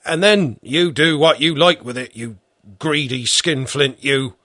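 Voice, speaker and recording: A man voicing an old peasant father who scolds his son gruffly. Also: medium pitch, a little slow, bright, forceful tone, no room sound, studio quality, voice pushed up. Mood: scolding.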